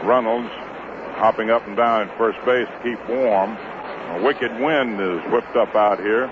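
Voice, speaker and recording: A man's voice: on the low side, measured pace. An American man calling baseball play-by-play in clipped short phrases, in the style of 1950s radio sports commentary. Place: outdoor ballpark broadcast. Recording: muffled, noisy 1950s radio broadcast.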